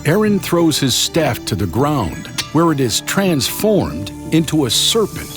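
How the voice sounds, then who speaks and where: Slow, animated male storyteller. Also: fairly high pitch. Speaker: narrator. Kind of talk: scripted storytelling narration for children. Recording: loud music behind the voice. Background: music.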